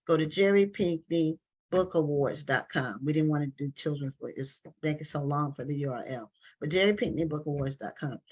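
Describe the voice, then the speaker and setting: Talk show host woman. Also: deep voice. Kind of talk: live online book show interview.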